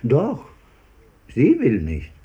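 An actor playing an eccentric, giggling drunken vagrant who speaks in playful, mocking riddles in a radio play. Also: male voice, mid-range voice, huge pitch swings, drawn-out words, husky, phone-quality sound, exaggerated voice. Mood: sly, stubborn. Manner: chuckling, sing-song, playful riddling. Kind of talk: German radio play acting.

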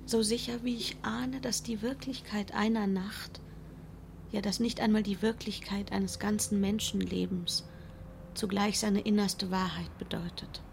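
An actress playing a calm, thoughtful wife in a modern radio play, quiet and intimate, often near a whisper. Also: female voice, medium pitch, unhurried, creaky, slight background noise. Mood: pensive, frank.

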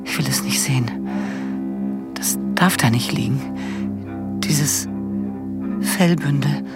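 Anxious, frail wife, slow, creaky inner monologue for radio drama, half-whispered, loud cello underscore. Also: female voice, low-pitched, loud music behind the voice. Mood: dread. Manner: halting, fragmented, half-whispered. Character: anxious, frail, paranoid wife alone with her thoughts.

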